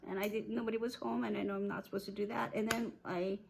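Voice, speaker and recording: A woman's voice: mid-range voice, little pitch movement, creaky, noisy background, voice pushed up, natural voice. A woman voicing a young storybook girl with a childlike way of talking, a little fussy and earnest. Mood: apologetic. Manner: childlike, halting.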